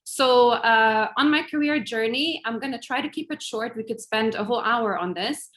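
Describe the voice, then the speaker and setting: A woman's voice: a little high, moderate pace, fairly bright tone. A woman of Lebanese origin who grew up in Germany, answering interview questions as a guest in a fluent, friendly conversational way. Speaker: guest, tech executive.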